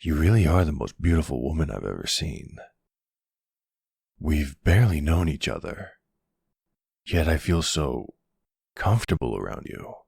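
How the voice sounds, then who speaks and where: Guy doing a smooth talking older mafia boss, super low husky voice, slow and teasing, asmr roleplay. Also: darkish tone, no echo, clean studio sound, exaggerated voice. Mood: tender.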